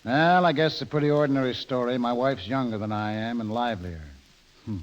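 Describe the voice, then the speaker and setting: An actor playing a weary but dogged middle-aged American husband in a 1930s radio drama, plain and halting in manner, on a dull old recording. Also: male voice, medium pitch, close to an everyday voice. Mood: friendly, rueful.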